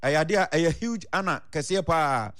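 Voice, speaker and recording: Male sports news presenter, Ghanaian accent, voice on the high side. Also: animated, normal speed, a bit bright. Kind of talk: sports news report with interview clips.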